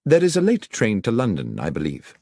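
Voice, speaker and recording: A man voicing a sharp, precise gentleman detective who questions people with dry irony. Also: on the low side, huge pitch swings, fairly quick, no echo, voice pushed up, exaggerated voice. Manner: crisp, probing, dryly ironic. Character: sharp, precise male detective, middle-aged.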